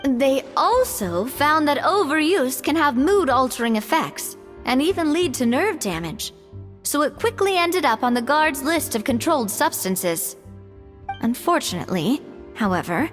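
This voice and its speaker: An actress playing a spirited, well-informed young woman in a video game, articulate and expressive. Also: sits high, in a large hall.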